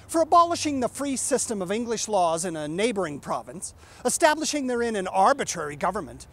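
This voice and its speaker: A man giving an impassioned, indignant and emphatic oratorical recitation of a historical document. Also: high-pitched. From United States. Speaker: reciter, live presenter.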